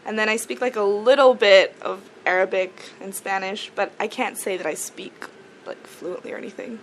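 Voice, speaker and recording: A woman's voice: medium pitch, moderate pace, slight background noise, low-quality recording. A young woman from South Africa, a native Afrikaans speaker, chatting easily as a musician guest, often giggling and joking dryly. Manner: giggly, easygoing, dry jokes. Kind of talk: casual interview chat.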